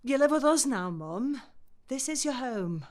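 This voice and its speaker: A woman's voice: high-pitched, expressive, a little slow. An actress playing a patient middle-aged daughter, coaxing and explaining simply to her confused mother in an audio drama.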